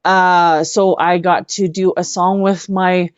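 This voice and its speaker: Woman, lowish voice, effusive interview guest telling stories casually, slightly dull audio. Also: flat delivery, slow, clean tone, no echo. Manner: effusive, repeats words for emphasis. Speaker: interview guest, singer-songwriter and actress.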